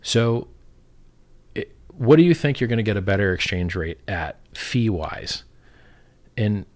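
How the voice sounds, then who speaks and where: A man's voice: fairly low pitch, lively intonation, unhurried, darkish tone. A man giving an opinionated, casual explanation, blunt and emphatic, repeating himself and swearing freely.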